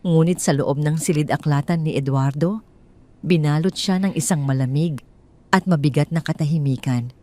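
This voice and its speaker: A woman storyteller with a fairly low voice and a soft, dark, mellow tone. She reads scripted drama as an audiobook narrator, with measured and formal phrasing.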